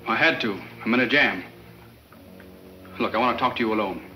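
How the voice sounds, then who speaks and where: An actor playing a nervous, slangy informant in a 1940s crime serial, with an edgy, pleading way of talking. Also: male voice, medium pitch, a little fast, close to an everyday voice, rough recording. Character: nervous, slangy male turncoat informant for gangsters. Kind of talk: 1940s film serial acting. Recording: 1940s film soundtrack, faint music.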